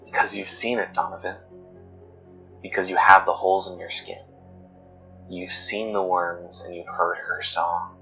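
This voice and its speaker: A haunted woman played by a man confides dark secrets in a horror audio drama. The voice is low and grave, with music running beneath it. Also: level tone, measured pace, creaky, pauses often, heavily acted, poor audio. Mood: knowing. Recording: music bed under voice. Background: background music.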